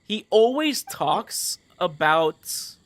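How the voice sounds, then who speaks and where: A young man livestreaming a game, chatting casually in a rambling, halting way with animated emphasis. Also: high-pitched. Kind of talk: casual livestream chat over gameplay.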